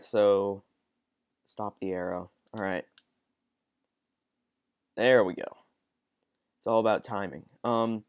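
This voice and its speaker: A sniffly young man with a cold, rambling through casual game commentary with frequent ums and restarts. His voice sits a bit low and sounds close and dry. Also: no room sound. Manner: rambling, hesitant, lots of ums. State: stuffy with a cold. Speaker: gaming channel host. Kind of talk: casual let's-play commentary.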